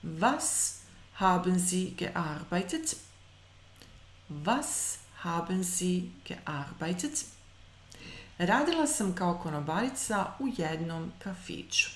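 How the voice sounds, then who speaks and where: A woman in her thirties teaching an online German lesson. She says German example sentences slowly and carefully and explains between them in quick asides, recorded on a webcam. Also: fairly low pitch, very animated, roomy sound, a little hiss or hum.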